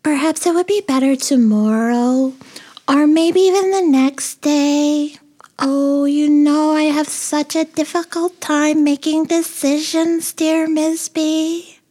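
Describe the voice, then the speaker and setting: A timid, worried little lady bee from a storybook. She moans slowly and hesitantly in a very high, soft-toned voice, unable to make up her mind. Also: fairly even intonation. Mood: anxious, moaning. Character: timid, anxious, indecisive female bee.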